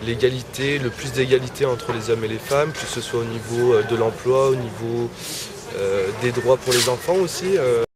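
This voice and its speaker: A man giving calm, hesitant answers in a street interview. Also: medium pitch, moderate pace. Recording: crowd noise behind outdoors.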